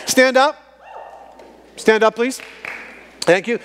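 A man in his fifties preaching a sermon to a congregation in an animated way, repeating phrases for emphasis. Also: extremely high pitch, slow pace, a faint crowd, slight background noise. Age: fifties, fifty-four. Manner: animated, emphatic repetition for effect. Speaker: guest preacher. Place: large church sanctuary, on stage before congregation. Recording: reverberant church hall.

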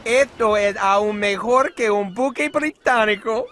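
An actor voicing a haughty, aggressive Spanish ship captain with a Spanish accent in a video game. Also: male voice, sits high, normal speed, a bit bright, slight background noise. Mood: imperious.

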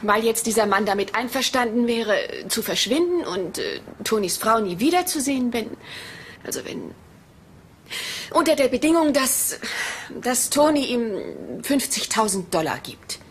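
Radio-play witness: young, candid, halting, fairly high voice, faint noise behind. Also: female voice, expressive. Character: frank, uneasy young woman under police questioning. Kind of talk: German crime radio drama acting.

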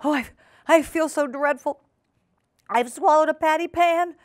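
Woman acting a high, stammering, flustered lady dog. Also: normal speed, dry recording, studio quality, natural voice. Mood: wailing, panicked. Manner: stammering, hesitant, overpolite. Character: anxious, flustered female dog guest, prone to wailing.

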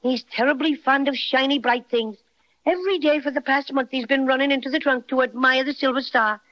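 A tiny Irish teddy bear voiced by an actor from an old radio serial, with a very high cartoonish voice. He is chatty, folksy and proud of his growl. The recording is dull and lo-fi. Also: brisk, lightly acted. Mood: eager, helpful. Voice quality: high-pitched cartoonish character voice. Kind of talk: 1930s radio acting.

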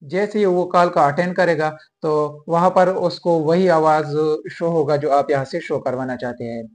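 Young adult male, high voice, for presenting step-by-step phone how-to videos. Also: normal speed. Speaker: tech tutorial host. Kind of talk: step-by-step phone tutorial to viewers. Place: small indoor room at home.

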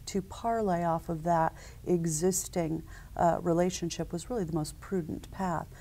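A woman in her forties, a company founder, answering interview questions in a measured, businesslike way with frequent ums and uhs, recorded on a lapel mic at a conference set. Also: fairly low pitch, fairly even intonation, dark tone.

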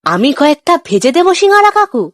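Cartoon part: eager, apologetic young boy played by a woman, extremely high and animated. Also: quick pace, dry recording, clean studio sound. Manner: pleading, earnest. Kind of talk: children's cartoon dialogue.

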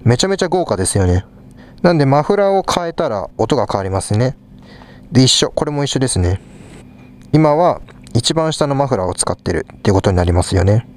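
A man's voice: medium pitch, big pitch swings, brisk. A young man in a small rehearsal studio, casually explaining his instruments while he plays them. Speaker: vlogger. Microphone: small clip mic on a head-mounted camera. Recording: live drums and guitar behind voice.

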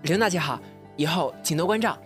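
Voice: medium pitch, expressive, fairly quick, music in the background, close to an everyday voice. A performer in a Mandarin audio drama playing an earnest, shy young male rookie singer who is polite and deferential. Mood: polite, bashful.

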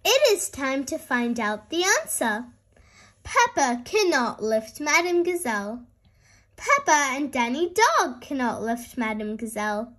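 A woman reads a children's storybook in a very high voice. She takes her time, placing each phrase deliberately, and her pitch moves in lively swings for young listeners. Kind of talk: slow, expressive picture-book read-aloud for young children.

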